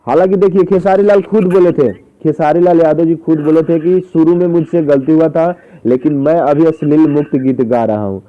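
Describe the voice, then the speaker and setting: Guy interviewing over the phone, highish voice, pushy questions, dull muffled sound. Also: steady tone, moderate pace, hardly any pauses. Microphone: phone call.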